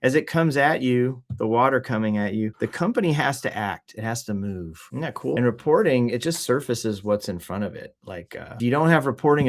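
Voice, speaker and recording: Casual man chatting off the cuff. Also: on the low side. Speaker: host of a livestream news show. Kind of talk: casual rambling livestream chat. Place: office.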